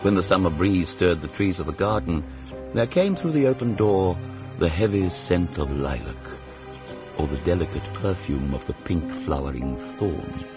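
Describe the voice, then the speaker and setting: A worldly, cynical English aristocrat in a radio drama, speaking in a low voice that rises and falls freely. His upper-class accent is languid and arch, and he delivers epigrams with theatrical relish, faint music about him. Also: lively intonation, moderate pace. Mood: reflective. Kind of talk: radio drama acting and first-person narration.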